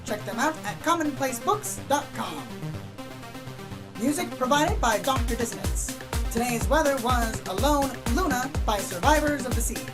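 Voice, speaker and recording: A woman's voice: high voice, expressive, normal speed. Woman, radio announcer, scripted credits, plain delivery, music in the background. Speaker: show announcer reading credits.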